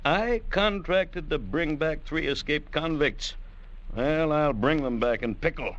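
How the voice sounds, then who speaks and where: An actor playing a callous, money-minded schooner captain in a radio drama, sardonic and dismissive. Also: male voice, fairly high pitch, measured pace, fairly bright tone. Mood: mocking, dismissive. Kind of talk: 1940s-50s radio drama acting. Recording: dull, hissy old radio broadcast.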